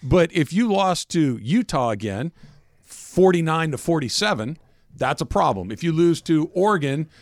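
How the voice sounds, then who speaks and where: A man's voice: a little high, sing-song, normal speed, dry recording, studio quality. A man hosting a sports radio show in a conversational style. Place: radio studio.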